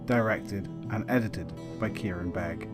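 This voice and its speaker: A man's voice: on the low side, a little slow, over music. A man reading scripted dramatic narration for an audio drama.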